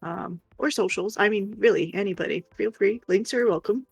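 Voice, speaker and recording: Creaky-voiced woman in her thirties, casual. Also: medium pitch, normal speed, no room sound, soft background music. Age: 30s. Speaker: livestream co-host reading chat. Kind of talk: casual chat, reading viewer comments.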